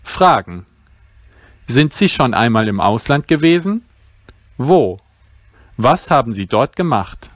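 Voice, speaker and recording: A man reads out language-learning exercises at a very slow, careful pace, pronouncing each word distinctly. His voice sits at an ordinary middle pitch. The recording is close and dry, with no room sound. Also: big pitch swings, no room sound. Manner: slow, deliberate, clearly articulated reading. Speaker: language teacher.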